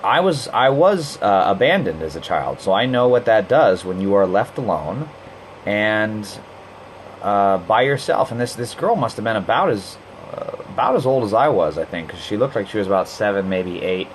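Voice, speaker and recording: A man's voice: fairly low pitch, sing-song, moderate pace, a little hiss or hum, poor audio. Blunt, heated man ranting casually. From Costa Rica. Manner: blunt, heated, swears freely, frequent restarts. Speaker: live stream host. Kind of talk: casual monologue.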